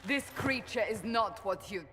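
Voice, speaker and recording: Suspicious warrior in reverberant hall. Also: female voice, fairly high pitch, a little slow, fairly bright tone. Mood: alarmed. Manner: curt, sceptical. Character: blunt, suspicious, combative female warrior. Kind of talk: video game cutscene acting. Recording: hall reverb, faint game music.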